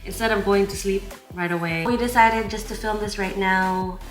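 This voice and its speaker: A woman's voice: mid-range voice, fairly even intonation, normal speed, echoey room, faint music behind. A tired woman vlogger talking casually about her outing. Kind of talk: casual vlog chat.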